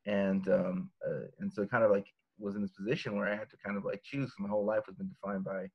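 Rambling guy on a webcam call, low-ish voice, talks quick, dull lo-fi sound. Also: level tone, slightly rough. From Los Angeles. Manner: rambling, laid-back, many fillers and restarts. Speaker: author guest. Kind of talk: casual interview over video call. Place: living room at home. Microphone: laptop webcam video call. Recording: lo-fi video call audio.

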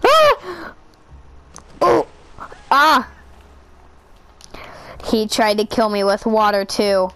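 A woman voicing a boastful, taunting old goat villain who is now a giant T-Rex, in a high-pitched voice in an amateur game machinima. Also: very animated, drawn-out words, long pauses, a bit bright, exaggerated voice.

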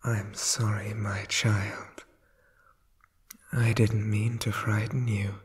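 A man's voice: lowish voice, little pitch movement, takes it slowly. A man playing a sadistic, doting demonic father in an ASMR horror roleplay, whispering with sinister mock tenderness. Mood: mock-tender, menacing.

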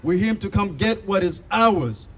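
A man's voice: high-pitched, lively intonation, slow pace, husky. A young man of African origin giving a defiant speech at an outdoor rally into a handheld mic. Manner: defiant, declaratory. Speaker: rally speaker. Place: outdoors in a park.

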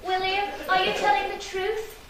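A woman's voice: very high-pitched, flat delivery, normal speed. An actress playing a wronged young village woman in a stage melodrama, speaking in a wailing, exclamatory way with sighs from a small theatre stage. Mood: distraught. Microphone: far camera in audience. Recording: echoey hall, far-off mic, background noise.

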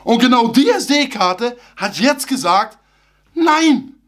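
A man in his thirties talks straight into a close camera in a small room, with a fairly high voice. He sounds animated and chatty, and his grammar slips now and then. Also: takes it slowly. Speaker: theme park YouTuber. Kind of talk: casual vlog talk to camera. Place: small room full of fan merchandise, indoors. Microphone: camera at close range.

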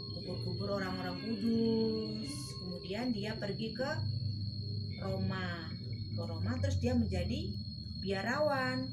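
A middle-aged woman tells a story informally, in colloquial, halting phrases with frequent filler words. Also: mid-range voice, rough recording. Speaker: mother telling a story for a kindergarten storytelling contest. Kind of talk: informal, unscripted storytelling to a child. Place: small room at home, indoors.

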